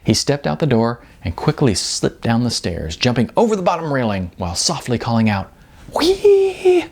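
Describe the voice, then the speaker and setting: A man's voice: mid-range voice, sing-song, brisk, vocal fry. A man narrating an audiobook story in a conversational, wry way.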